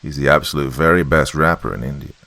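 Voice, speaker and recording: A man's voice: a bass voice, fast, gravelly, sharp tone, dry recording, exaggerated voice. A man voicing a short, stocky, confident Indian machine gunner, easygoing and boastful, in a military story.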